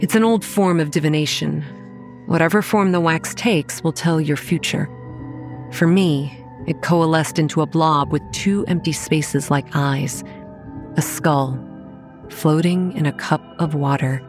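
A woman's voice: low-pitched, unhurried, faint music behind. An actress playing a sarcastic, determined young woman who tells her own story in a horror audio drama. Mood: grim, wry. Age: young adult. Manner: wry, first-person storytelling.

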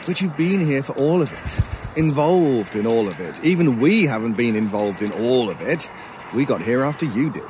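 An actor playing an urbane, sardonic crow in an audio drama, wordy and mockingly polite in a pompous way. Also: male voice, mid-range voice, expressive, moderate pace, close to an everyday voice. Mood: wry, amused. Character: urbane, sardonic male talking crow, gleefully macabre scavenger. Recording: clear outdoor noise with cawing crows. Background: outdoor ambience, crows, vehicles.

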